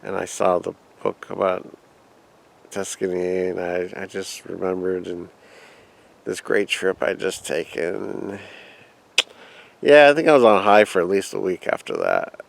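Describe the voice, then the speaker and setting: Creaky-voiced man telling a travel story. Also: low-pitched, sing-song, unhurried, long pauses, rough recording. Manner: rambling, reminiscing, quotes his own thoughts. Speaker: vlogger. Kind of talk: casual personal travel story.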